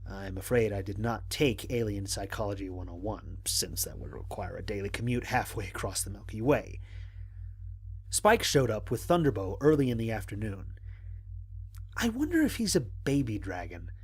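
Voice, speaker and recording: A man playing a wry, analytical stranded astronaut, narrating mission logs in an audio drama in a measured, articulate way. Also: fairly low pitch, sing-song, slightly rough, full character voice. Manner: measured, articulate, dryly humorous. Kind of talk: dramatic scripted log narration.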